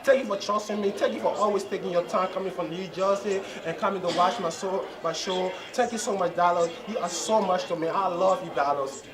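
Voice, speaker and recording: Young guy from liberia, earnest, keeps repeating himself, slightly high voice, loud crowd behind. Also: steady tone, brisk, few pauses. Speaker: bodybuilding champion. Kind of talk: post-show interview. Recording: loud crowd at a contest venue.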